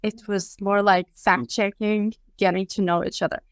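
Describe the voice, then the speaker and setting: Woman, 30s, Bulgarian accent, clear voice, measured guest on a podcast. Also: medium pitch, flat delivery, dry recording, clean studio sound. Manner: measured, with frequent ums and uhs. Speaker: interview guest. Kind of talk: casual conversation.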